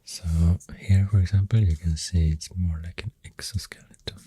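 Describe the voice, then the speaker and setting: A soft-spoken ASMR host with a Swedish accent, half-whispering in a breathy voice and hesitantly rambling up close to the microphone. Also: low voice, steady tone. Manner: hushed, hesitant, pausing mid-thought. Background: pencil writing sounds.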